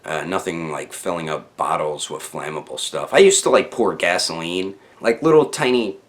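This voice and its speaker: A man's voice: sits low, normal speed. A man telling a story to online viewers in a casual, unscripted way, rambling and slangy, often repeating words as he stammers along. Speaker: YouTube storyteller, channel host.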